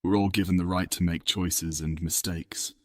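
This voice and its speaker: Casting: male actor as steadfast fantasy companion, very deep voice, calm and earnest, dry close sound. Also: normal speed, no echo, lightly acted. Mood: calm, resolute. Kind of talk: audiobook drama acting.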